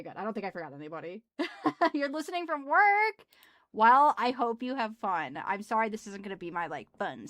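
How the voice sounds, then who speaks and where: Young Midwestern woman streamer, gruff voice, mid pitch, dry close sound, excitable and chatty. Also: no room sound. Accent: Midwestern American. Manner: bubbly, thanking viewers, laughs often. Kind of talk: casual live stream chat. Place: small home office.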